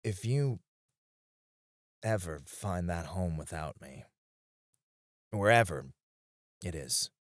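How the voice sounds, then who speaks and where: A man playing a battle-weary knight in a romantic ASMR roleplay, speaking softly and intimately with tender, wistful devotion to a comrade. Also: sits low, animated, slow pace, pauses often, no room sound, clean studio sound. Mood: earnest, tender. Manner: soft, intimate, hesitant with small chuckles.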